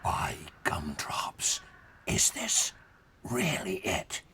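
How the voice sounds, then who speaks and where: An older actor playing a big, friendly, bumbling giant with childlike, muddled rustic grammar in a dramatized audiobook. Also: male voice, deep voice, huge pitch swings, drawn-out words, bright, forceful tone, in a whisper, full character voice. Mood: quietly awed. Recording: studio drama with long reverb, music behind.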